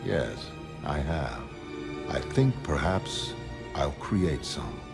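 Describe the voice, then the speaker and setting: An actor playing a godlike, detached superhuman man, solemn and measured, in dramatised comic dialogue. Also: a bass voice, animated, gravelly, loud music behind the voice, heavily acted. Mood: calm, detached.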